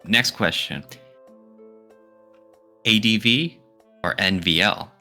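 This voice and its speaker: A man's voice: fairly low pitch, takes it slowly, pushed and bright, soft background music. A man co-hosting a podcast in casual discussion, posing questions and giving his opinions. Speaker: podcast co-host.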